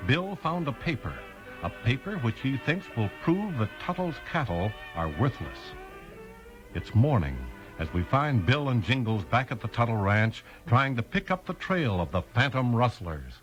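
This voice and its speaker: A man's voice: mid-range voice, very animated, moderate pace, lo-fi sound. A man announcing and narrating in scripted 1950s radio style. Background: music.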